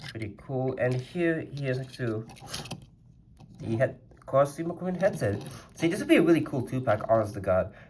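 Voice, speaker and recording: A man's voice: medium pitch, expressive, moderate pace, a little mellow, slight background noise. A man reviewing a custom toy car in a casual vlog, rambling and chatty with a slightly congested voice. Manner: rambling and chatty, lots of "like" fillers.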